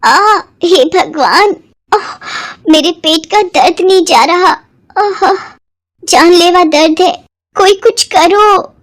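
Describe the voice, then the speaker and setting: A spiteful, complaining mother from a cartoon tale, put on by a woman. Her voice is high, and her delivery is dramatic and sharp-tongued. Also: takes it slowly. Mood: pained, wailing. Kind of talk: cartoon moral story acting.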